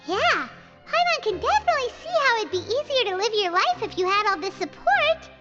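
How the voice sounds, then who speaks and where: A woman's voice: very high-pitched, big pitch swings, fairly quick, dull audio, over music. A woman voicing a tiny, childlike fairy companion in a video game, chirpy and curious. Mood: impressed. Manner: refers to self in third person, exclaiming. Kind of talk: animated video game character acting.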